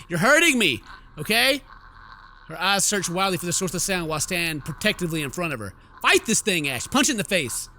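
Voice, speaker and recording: A man hosts a gaming video in a bright, high voice that swings widely. He is playful and theatrical, mixing exclamations with read-aloud game text. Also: slight background noise. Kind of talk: animated let's play commentary, reading game text aloud. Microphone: close microphone at a desk. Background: faint game music.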